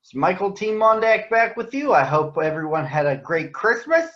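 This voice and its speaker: A man's voice: sits high, very animated, normal speed. A man hosting a virtual picture-book read-aloud, addressing young schoolchildren and their teachers. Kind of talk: picture-book reading to children. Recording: roomy echo, dull lo-fi sound.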